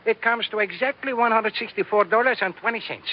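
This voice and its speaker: An actor playing an obliging, fussy Puerto Rican hotel clerk with a Spanish accent, polite, in 1940s radio style. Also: male voice, high voice, a little fast, natural voice. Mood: obliging, businesslike. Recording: muffled, noisy old radio recording.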